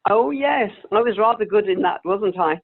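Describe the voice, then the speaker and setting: Woman acting a creaky, genteel elderly actress. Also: mid-range voice, animated, normal speed. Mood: nostalgic. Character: wistful, genteel elderly actress, sweetly vain and persistent. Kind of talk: amateur radio play acting. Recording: tinny, phone-like video call audio.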